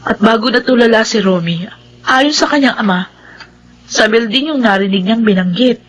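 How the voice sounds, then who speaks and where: A fairly high, husky voice from an actress plays an anxious young Filipino woman in a radio drama. Her delivery is emotive and full of suspicion. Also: moderate pace, natural voice, poor audio. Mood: uneasy, insistent.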